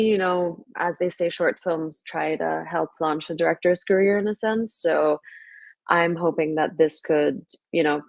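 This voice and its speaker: Young woman from Lebanon, low gravelly voice, reflective, dry close sound. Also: no room sound. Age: young adult. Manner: reflective, many fillers and restarts. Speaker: filmmaker guest. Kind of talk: casual interview answers.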